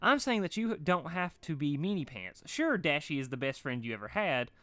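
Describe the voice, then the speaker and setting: A sweet, childlike cartoon pony girl, acted out by a man, chirps in playful baby talk. The voice jumps high and stays perky and unbothered, with a dry, close sound. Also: very animated, moderate pace, pushed and bright, no echo.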